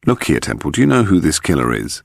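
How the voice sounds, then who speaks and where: A man's voice: rumbling low, sing-song, fast, creaky, no echo, studio quality, exaggerated voice. A man voicing a bluff, worried male policeman with a blunt, questioning manner in an audiobook mystery. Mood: bewildered, worried.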